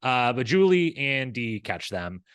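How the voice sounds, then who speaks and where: A man's voice: medium pitch, very animated, measured pace, a bit bright, smooth, dry recording. A man co-hosting a recap show with casual, conversational commentary, rambling along and often acting out imagined dialogue.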